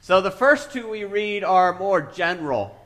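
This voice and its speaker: A man's voice: sits high, lively intonation, a little slow, fairly bright tone. Chatty man teaching a sermon. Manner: conversational, hesitant, thinks aloud with many fillers. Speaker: preacher. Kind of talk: informal Bible teaching sermon.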